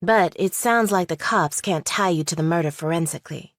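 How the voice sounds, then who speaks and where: A woman's voice: lowish voice, brisk, no room sound, clean studio sound, close to an everyday voice. A woman playing a shrewd young law student in an audiobook, direct, questioning and analytical. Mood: cool, matter-of-fact. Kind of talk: audiobook character dialogue.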